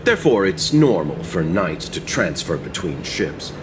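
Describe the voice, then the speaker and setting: An actor playing a courteous, composed wandering merchant in a video game, speaking formally and politely. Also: male voice, sits low, big pitch swings, a little slow, background noise.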